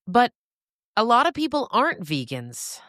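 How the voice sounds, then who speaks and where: A woman's voice: fairly high pitch, huge pitch swings, normal speed, fairly bright tone, dry recording, clean studio sound, voice pushed up. A woman voicing a frank, heartfelt farmer in an audio story. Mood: earnest, defensive. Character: frank, earnest adult woman goat-and-pig farmer.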